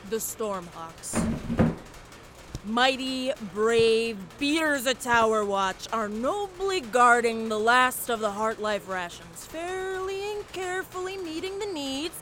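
A woman's voice: fairly high pitch, very animated, takes it slowly, a bit bright, heavily acted. A woman playing a brash, mischievous security chief with a folksy, boastful, theatrical drawl. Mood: grandly boastful. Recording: noisy ambient background.

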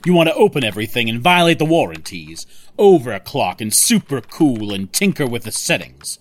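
An actor playing a smug, scheming tech boss in an audio drama, talking with condescending, self-satisfied control. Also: male voice, mid-range voice, very animated, normal speed. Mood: condescending, controlling.

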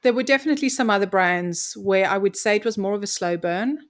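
A woman's voice: medium pitch, quick pace. A woman from Mozambique, telling her story as an interview guest in an articulate, reflective way. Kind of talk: conversational interview.

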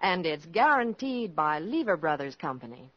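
A woman's voice: lowish voice, very animated, moderate pace, dull audio, soft background music. A woman reading a scripted 1950s radio commercial on a vintage recording. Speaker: commercial spokeswoman. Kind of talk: 1950s radio advertising read.

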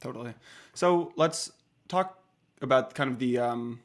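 A man's voice: mid-range voice, unhurried. A man hosting an interview, asking questions in a casual, friendly way. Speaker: interview host. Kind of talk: conversational interview.

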